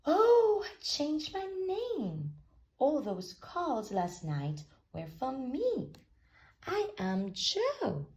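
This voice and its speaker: A woman's voice: sits high, huge pitch swings, extremely slow, darkish tone, voice pushed up, heavily acted. A woman voicing a cheerful, forgetful young male pig poet from a children's storybook, earnest and exclamatory, sing-song when reciting. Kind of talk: children's storybook character reading.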